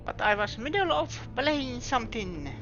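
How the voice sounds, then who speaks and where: A woman's voice: a little high, lively intonation, normal speed, fairly bright tone, lo-fi sound, faint music behind. A woman with a Finnish accent, Finnish her first language, chatting casually on a game stream and searching for her words. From Finland. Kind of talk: casual live-stream chat while gaming.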